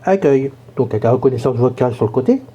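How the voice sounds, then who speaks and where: A hoarse man casually explaining a gadget in a chatty, rambling tutorial. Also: mid-range voice, lively intonation, quick pace, background noise. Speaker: tech tutorial presenter.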